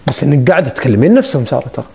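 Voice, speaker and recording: A man hosts a casual phone live stream, full of jokes and teasing. He talks quickly, and his voice jumps around a lot. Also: mid-range voice, very animated, gravelly, mellow, slight background noise. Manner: teasing, joking banter. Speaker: livestream host.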